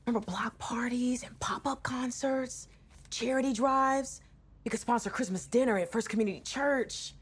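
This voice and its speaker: A woman acting as a persuasive, excitable American dreamer in a naturalistic drama. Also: a little high, normal speed, slightly rough. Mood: coaxing, nostalgic.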